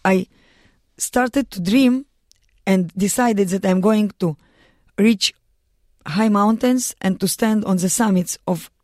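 A woman with a Russian accent answers interview questions in earnest, halting English full of restarts. Her voice sits at a middle pitch. The studio sound is close and dry. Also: a little mellow, no room sound. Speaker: guest, mountaineer and lawyer. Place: radio studio.

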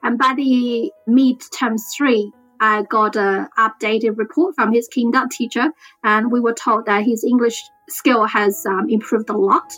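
A woman tells her story as a parent, speaking plainly and earnestly with a few small restarts. Her voice sits a little high and sounds close and dry. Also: fairly even intonation, measured pace, fairly bright tone, no echo, soft background music, poor audio. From China. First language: Mandarin. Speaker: interviewed parent. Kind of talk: personal account.

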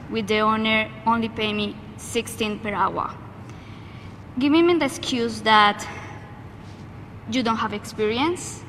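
A woman's voice: fairly high pitch, a bit bright. A woman from Colombia with a Colombian accent, a native Spanish speaker, giving interview testimony in English as a second language. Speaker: interviewee, migrant worker. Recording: noisy, long echoing reverb.

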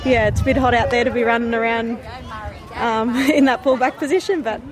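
A woman's voice: on the high side, steady tone, brisk, crowd noise. A woman footy player talking in an easygoing, chatty way in a vox pop interview.

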